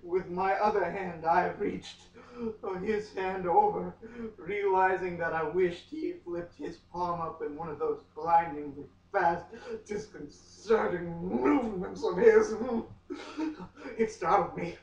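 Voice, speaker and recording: Male reader, slow and overwrought, comic dramatic delivery with long pauses; muffled, distant room recording. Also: sits high, steady tone. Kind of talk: melodramatic comic dramatic reading of a novel. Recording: echoey room, far from mic, muffled.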